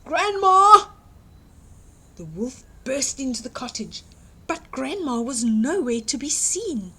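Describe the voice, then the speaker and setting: A woman reading a fairytale aloud to young children, dramatic and expressive, exclaiming and putting questions to the listener. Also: high voice, unhurried, breathy edge. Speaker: children's storyteller.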